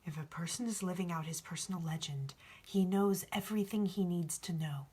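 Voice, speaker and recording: A woman voicing a calm, wise male alchemist in a storybook read-aloud, hushed, measured and gently instructive. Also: lowish voice, slightly rough. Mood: gentle, reassuring.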